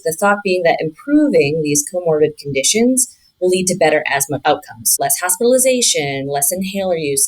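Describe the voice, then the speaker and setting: A woman's voice: low-pitched, poor audio. A woman, a physician guest, explaining in an interview.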